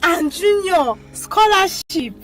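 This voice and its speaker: A woman's voice: extremely high pitch, expressive, slow pace, full character voice. A woman playing a grateful, earnest young woman in a radio drama, phrasing dramatically. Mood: earnest gratitude. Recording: music under the voice.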